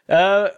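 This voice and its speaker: A man's voice: sits high, smooth. A man co-hosting a casual film discussion podcast, thinking aloud with many restarts and self-corrections.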